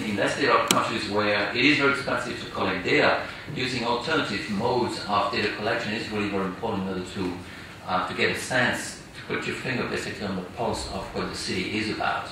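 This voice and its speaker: Low-voiced panelist in an echoey hall. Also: male voice, brisk, few pauses. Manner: hesitant, many fillers and restarts. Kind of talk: conference panel discussion. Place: large conference hall. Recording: echoey hall, distant mic, noisy.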